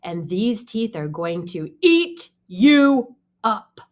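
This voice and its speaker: A woman's voice: mid-range voice, big pitch swings, takes it slowly, heavy background noise. A woman voicing a hungry but gullible mother crocodile in a children's storybook, with emphatic, dramatic delivery. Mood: menacing. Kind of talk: acted children's storybook read-aloud.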